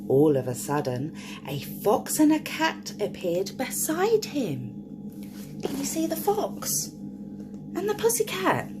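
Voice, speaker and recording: A woman's voice: high voice, huge pitch swings, takes it slowly, background noise. A woman reading a picture book aloud to young children in a sing-song storytime voice, often stopping to ask the listener questions.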